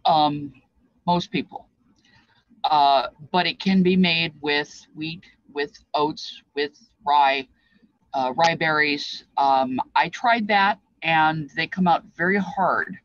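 A woman's voice: low voice, slow, no room sound. Older woman, informal explainer, rambling, reflective, reminiscing, lo-fi webcam sound. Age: older, past fifties. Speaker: presenter explaining feast dishes. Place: small cluttered craft room, indoors. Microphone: webcam at desk distance.

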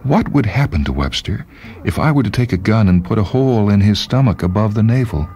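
A man's voice: lowish voice, lively intonation, fairly quick, warm tone, slight background noise, voice pushed up, natural voice. A man voicing a distraught, bitter middle-aged woman in a short-story reading, with anguished exclamations. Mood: anguished, agitated.

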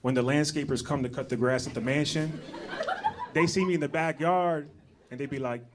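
A man's voice: fairly high pitch, steady tone, normal speed, noisy background. A man in his thirties telling a laid-back stand-up story on a comedy club stage into a handheld mic. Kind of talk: stand-up set with quoted bits.

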